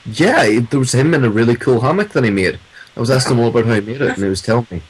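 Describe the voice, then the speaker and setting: A man's voice: medium pitch, animated, racing, breathy edge, low-quality recording. A man who works in a call center, chatting casually and telling stories from his job. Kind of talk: casual podcast chat.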